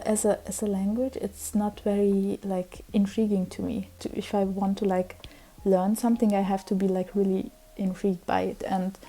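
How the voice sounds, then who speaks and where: A young woman, a native Hungarian speaker, talks casually to her vlog audience in a rambling way, full of fillers and restarts. Her tone is very dark and mellow. Her pitch sits at an ordinary middle height. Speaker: vlogger. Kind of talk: casual chat.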